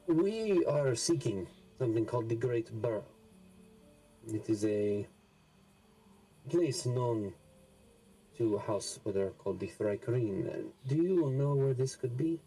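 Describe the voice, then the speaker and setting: A man speaking in character as a player, with a formal, slow and measured manner. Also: medium pitch, expressive, mellow, soft background music, poor audio. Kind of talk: in-character roleplay.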